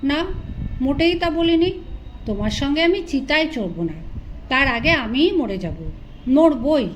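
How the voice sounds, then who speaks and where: A woman's voice: sits high, expressive, unhurried. A woman narrator voicing a jealous, fiery, foul-mouthed queen who is defiant and insistent in an audio story. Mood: defiant, hurt. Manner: defiant, sharp-tongued, insistent. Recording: dull lo-fi recording with loud noise.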